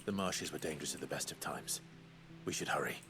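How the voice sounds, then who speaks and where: An actor playing a steady, resolute male hero in a video game, speaking in short, terse lines. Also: rumbling low, a little fast, music in the background. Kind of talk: game dialogue.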